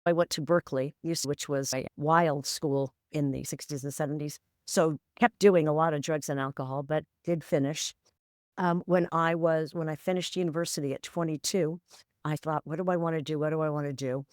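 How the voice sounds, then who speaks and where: A woman in her sixties tells her story as a podcast guest. Her voice sits low and sounds smooth and resonant. She speaks in a candid, wry, matter-of-fact way, and the recording is dry and close. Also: fairly even intonation, moderate pace, no echo. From San Francisco, USA. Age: 60s. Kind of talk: reflective storytelling interview.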